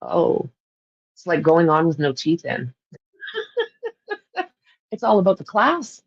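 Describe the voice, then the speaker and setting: A woman's voice: fairly low pitch, huge pitch swings, takes it slowly, husky, dry recording. Chatty Canadian woman, slightly muffled. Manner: rambling, chatty, laughs often. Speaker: livestream host. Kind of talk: casual chat with live viewers.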